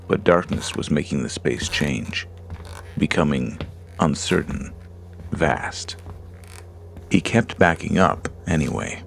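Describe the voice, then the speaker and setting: A man narrating a horror story in a slow, deliberate storytelling manner. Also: low voice, gravelly. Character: Lars, a frightened man alone in a dark house. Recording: music under the voice.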